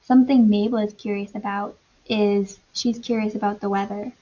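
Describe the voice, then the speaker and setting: A woman teacher doing an animated read-aloud for young children, pausing to ask questions and explain in simple, repetitive wording. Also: mid-range voice, lo-fi sound.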